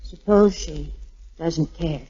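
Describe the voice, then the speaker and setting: An actress playing a tender, earnest young wartime sweetheart in a 1940s radio drama, soft-spoken and halting. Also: female voice, deep voice, slightly rough, heavily acted. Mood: guarded, wistful. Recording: muffled old 1940s radio recording with music.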